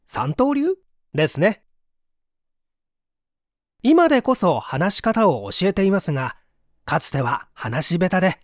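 A man reads a book aloud in a fairly high voice with lively intonation. His narration is expressive and clearly articulated, and the sound is dry and close. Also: dry recording. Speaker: audiobook narrator.

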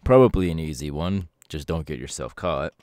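A man playing a serious pro hero mentor in an ASMR audio roleplay, giving earnest, measured instructions to a protégé. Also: extremely low pitch, darkish tone, dry recording, studio quality.

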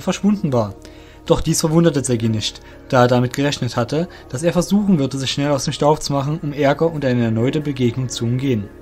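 A man's voice: mid-range voice, a little mellow. A man narrating a scripted fantasy story as the narrator of an audio drama. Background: music.

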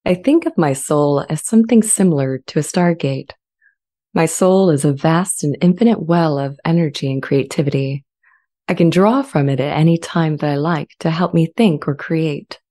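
A woman playing a gentle, thoughtful sentient AI in a dramatized reading, with a calm, measured and reflective delivery. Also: low voice. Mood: serene, thoughtful. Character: sentient female-voiced AI, gentle, serene and thoughtful. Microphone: large studio condenser mic up close.

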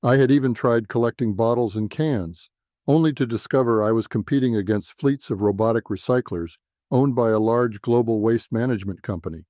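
A man's voice: mid-range voice, fairly quick, warm tone, no echo. A man narrating a scripted first-person noir science fiction story.

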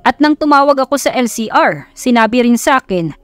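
A woman's voice: fairly high pitch, animated, brisk, dry recording, soft background music, lightly acted. A woman voicing a stern, exasperated father in an audio romance story, serious and scolding.

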